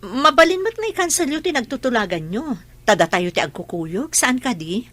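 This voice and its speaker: A woman's voice: fairly high pitch, lively intonation, moderate pace, a bit bright, no room sound. A Filipino woman playing an anguished, stubborn mother pleading with her children in a radio drama.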